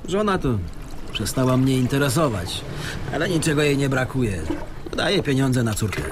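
An actor in a radio drama playing a sardonic small-time crook adrift at sea, with a sarcastic, needling manner. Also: male voice, medium pitch, animated, normal speed, close to an everyday voice. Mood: smug, boastful. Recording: clear water and sea noise behind.

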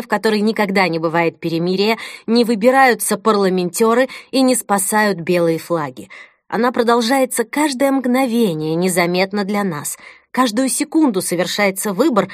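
A woman narrating an audiobook in a measured, deliberate, unhurried manner. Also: mid-range voice, no room sound, clean studio sound. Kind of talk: audiobook reading.

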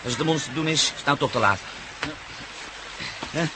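An actor in a radio play as a brisk, practical pilot who helps fugitives get away, giving orders. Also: male voice, mid-range voice, lightly acted. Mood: brisk, busy. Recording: noisy radio drama recording.

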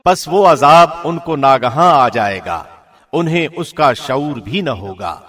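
A man's voice: on the high side, lively intonation, fairly bright tone, in a large hall, faint music behind. A man reading a scripture translation from a script in a formal radio presenter's style.

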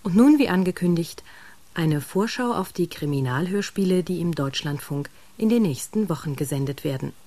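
Female radio announcer, low and mellow, formal delivery. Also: lively intonation, moderate pace, no room sound. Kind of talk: reading credits and announcements.

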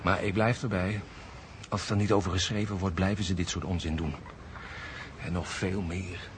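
Low-voiced grumbling street vendor, played by a man. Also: steady tone, normal speed, noisy background, close to an everyday voice. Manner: complaining, colloquial, emphatic. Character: grumbling working-class male street vendor, indignant and stubborn. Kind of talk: Dutch radio drama acting.

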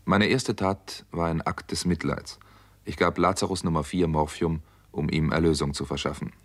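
A hard-boiled doctor investigating a case in a vintage radio thriller. His voice is deep, and he speaks in clipped, matter-of-fact sentences. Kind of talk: 1960s German radio crime drama narration and dialogue.

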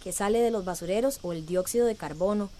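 A woman's voice: medium pitch, quick pace, mellow, lightly acted. Radio drama pupil for a woman, earnest and instructive, mid-range voice.

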